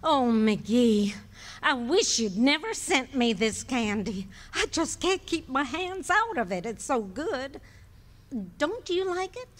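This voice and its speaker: A woman's voice: high-pitched, very animated, slow pace, a little hiss or hum, full character voice. A woman playing a patient, dryly witty middle-aged wife in a live radio play. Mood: teasing. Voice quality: dry, teasing.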